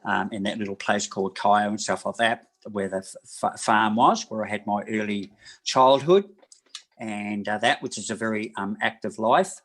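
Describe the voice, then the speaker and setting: A man in his sixties from New Zealand, a massage therapist telling his life story in an interview, rambling and halting with many fillers and restarts. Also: lowish voice, steady tone, fairly bright tone. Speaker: guest, massage therapist and personal trainer. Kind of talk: casual interview talk.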